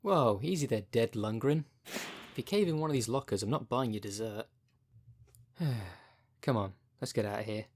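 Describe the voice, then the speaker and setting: A man's voice: mid-range voice, moderate pace, pauses often, lightly acted. A man playing a wry, caring, foul-mouthed necromancer who coaches a zombie fighter in a casual, chatty ASMR audio roleplay. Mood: teasing. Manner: chatty, colloquial, wry, consoling.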